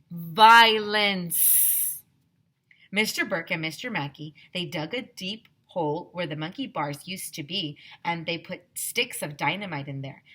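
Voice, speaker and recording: A woman teacher narrates a children's book aloud. Her voice sits a little low but swings widely in pitch, with a bright, forceful, emphatic delivery made for young listeners. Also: husky. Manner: expressive, emphatic. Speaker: teacher reading a story aloud.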